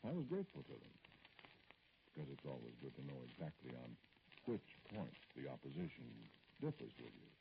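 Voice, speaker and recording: Wounded politician in old radio drama, super low gravelly voice, formal halting talk, muffled hissy recording. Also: male voice, huge pitch swings, heavily acted. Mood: wounded, indignant. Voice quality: very deep, gravelly. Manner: hesitant, formal, pointed, with pauses. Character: aggrieved middle-aged male politician, dignified, brooding, addressing a caller. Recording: noisy, dull vintage radio recording.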